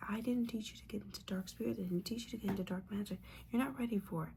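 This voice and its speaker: A woman playing an older witch mentor in a whispered ASMR roleplay, scolding and motherly, with wry, halting delivery and a breathy voice. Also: medium pitch, background noise, natural voice. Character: disappointed older witch mentor, bossy, judgmental yet caring.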